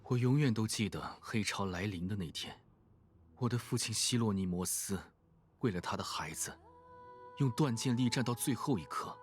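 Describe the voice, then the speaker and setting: A man's voice: on the low side, expressive, faint music behind, natural voice. Earnest young hero orator, played by a man. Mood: grieving, solemn. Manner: solemn, impassioned, deliberate. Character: earnest young male hero addressing an assembly. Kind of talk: dramatic game oratory in Mandarin.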